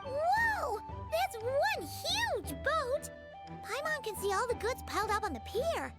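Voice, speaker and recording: A tiny fairy girl chirps in an extremely high, childlike voice. Her delivery swoops about eagerly, as an excitable game companion would, over prominent background music. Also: slow, exaggerated voice. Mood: amazed, curious. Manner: excitable, refers to self in third person. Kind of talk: video game voice acting. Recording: music playing behind the voice.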